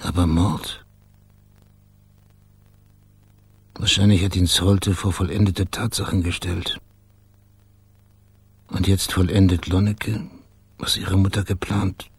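Husky, very low-voiced stern investigator. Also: male voice, flat delivery, unhurried, pauses often, full character voice. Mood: grim, reflective. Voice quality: husky, raspy. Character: stern, weary male investigator pressing suspects. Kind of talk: naturalistic German radio crime drama.

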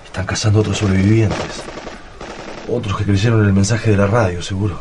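A man's voice: on the low side, steady tone, brisk, background noise, natural voice. An actor playing a resolute, protective father in a radio drama, speaking in an urgent, commanding way. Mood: grim, resolute. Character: resolute, protective father leading his family through an invasion. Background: dramatic music and sound effects.

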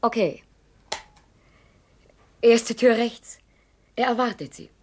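Agency secretary: fairly high voice, brisk, snippy, radio drama with slight background noise. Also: female voice, darkish tone. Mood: businesslike. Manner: brisk, businesslike. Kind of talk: 1970s German radio play acting.

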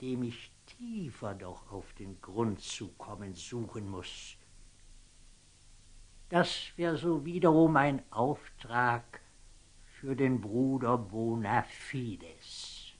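A man's voice: medium pitch, animated, drawn-out words. An actor playing a pompous, zealous and scheming old churchman in declamatory German radio drama style. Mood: fawning, sly. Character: zealous, scheming, fanatical old churchman, shifting from outrage to fawning. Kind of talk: theatrical German radio drama acting of classic stage verse.

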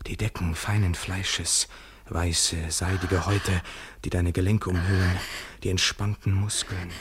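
Radio play lover: low, heavily breathy voice, impassioned poetic declamation, swinging between tender and desperate. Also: male voice, exaggerated voice. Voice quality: breathy, husky. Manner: intense poetic declamation, theatrical.

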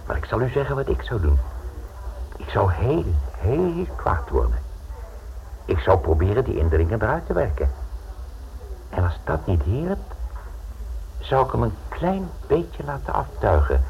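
Give the voice of an Italian mafia enforcer in an old radio play. He is gravelly and theatrical, wrapping threats in mock courtesy. The recording is dull, with faint noise behind him. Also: lowish voice, huge pitch swings, heavily acted. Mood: quietly threatening. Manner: mock-courteous, insinuating, deliberate, pausing for effect. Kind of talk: Dutch radio play acting.

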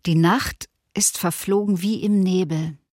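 A woman's voice: fairly low pitch, fairly even intonation, measured pace, a little mellow, no echo, clean studio sound. An actress playing a pained, restrained female survivor giving testimony in a documentary radio drama. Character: elderly woman survivor breaking long silence, pained, restrained.

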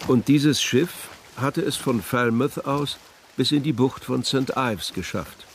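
A man's voice: mid-range voice, slow, a little hiss or hum. A man narrating a literary radio drama as scripted prose.

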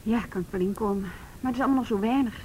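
A woman's voice: fairly high pitch, very fast, a little mellow, slight background noise, lightly acted, low-quality recording. An actress playing a reluctant, compassionate junior policewoman with a Netherlands Dutch accent, plain-spoken and doubtful in a radio drama. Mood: sceptical. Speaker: actor in a radio play. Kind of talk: naturalistic radio drama dialogue.

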